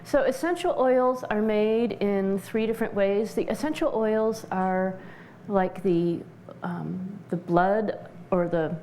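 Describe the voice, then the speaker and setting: A woman giving explanatory interview answers as a practitioner, measured and halting as she searches for words. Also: medium pitch, warm tone, slight background noise. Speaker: interview guest, essential oils practitioner.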